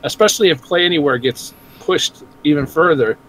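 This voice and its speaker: High, bright-voiced Southern man. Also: brisk, poor audio. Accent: American Southern. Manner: profane, laughing, animated. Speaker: podcast co-host. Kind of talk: casual chat.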